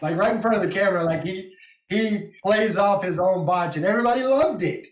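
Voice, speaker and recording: A man's voice: high-pitched, fairly quick, roomy sound, low-quality recording. A man from West Texas with a West Texas accent, hosting a casual wrestling podcast, laughing often and reading viewer comments aloud. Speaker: podcast host.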